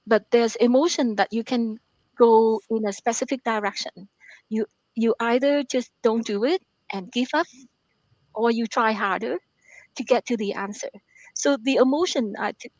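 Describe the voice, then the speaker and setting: Soft-spoken hesitant woman, dry sound. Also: on the high side, normal speed, no echo, highs cut off. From Vietnam. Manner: hesitant, many fillers and repeated words. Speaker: author and math professor, guest. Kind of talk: interview answers.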